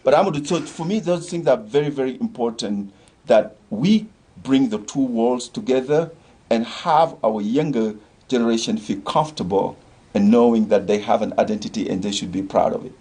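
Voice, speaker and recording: A man's voice: medium pitch, normal speed, mellow, slightly rough. An older man from Senegal, a community organization leader, talking earnestly in a discussion show and rallying listeners with repeated phrases.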